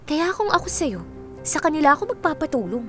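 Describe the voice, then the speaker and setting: A very high female voice of an actress plays a friendly young student in a Filipino radio drama. She is chatty, candid and full of casual slang. Music plays behind the dialogue. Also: big pitch swings, fairly quick.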